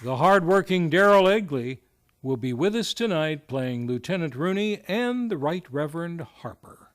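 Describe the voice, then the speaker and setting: A man's voice: a little high, expressive, normal speed. A man hosting and narrating a radio play with theatrical, grandiose scripted delivery. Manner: grandiose, showy announcing.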